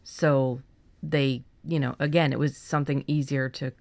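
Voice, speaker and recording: A woman with a low voice chats as a podcast co-host. She is informal and blunt in her language, keeping things casual. The recording is close and dry, free of room sound. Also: fairly even intonation, normal speed, gravelly, a little mellow, no room sound. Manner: informal, salty-tongued. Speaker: podcast co-host on fiction writing. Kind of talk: casual chat.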